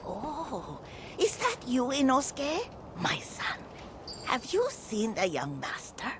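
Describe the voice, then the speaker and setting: Game role: frail-minded doting old woman, fussing and wandering, very animated pitch, unhurried, noisy background. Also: heavily acted. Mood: muddled, fond. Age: older. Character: confused, doting old mother with a failing mind. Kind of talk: video game cutscene acting.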